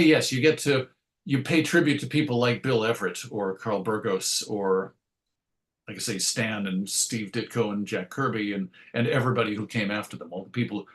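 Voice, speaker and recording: A man answering as an interview guest in a conversational way, thoughtful and inclined to explain things through analogies. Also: fairly low pitch. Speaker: interview guest, writer and radio host.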